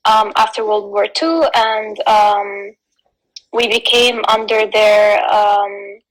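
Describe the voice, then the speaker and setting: A woman from Lebanon giving explanatory answers as an interview guest, speaking haltingly with frequent pauses. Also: mid-range voice, fairly even intonation, rough recording.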